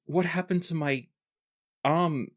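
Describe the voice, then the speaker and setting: A man's voice: on the high side, slow, voice pushed up. A man voicing a middle-aged woman who wakes up injured after a shipwreck, asking panicked questions. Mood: dazed. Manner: frightened, breathless questions.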